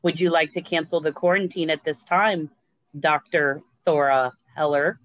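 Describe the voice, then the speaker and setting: A woman's voice: sits low, fairly even intonation, moderate pace, fairly bright tone. A woman playing an impassive colony computer, making formal procedural announcements in a screenplay table read. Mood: neutral, procedural.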